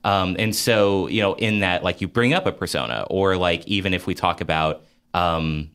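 A man's voice: deep voice, moderate pace, a bit bright, smooth. A man hosting a casual podcast discussion about games, talking in a hesitant, wordy, circling way. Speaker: podcast host.

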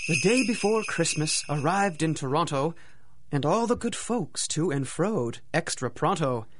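A man's voice: a little high, expressive, a little slow, very noisy. A man narrating a radio drama in a theatrical, dramatic storytelling manner.

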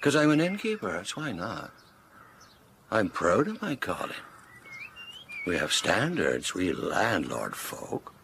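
A proud, menacing country landlord in a radio drama. He speaks slowly and theatrically in a creaky voice that swings wildly up and down, courteous on the surface, on a noisy recording. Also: low-pitched, wildly swooping pitch, a bit bright, full character voice. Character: sinister, proud, grieving country innkeeper, slyly polite.

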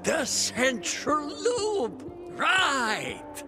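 An actor playing a proud, absent-minded old inventor in a video game, theatrical and often groping for words. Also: male voice, very high-pitched, very animated, drawn-out words, music in the background, full character voice. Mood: eager, scatterbrained. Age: older. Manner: hesitant searching for words, theatrical outbursts. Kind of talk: video game character acting.

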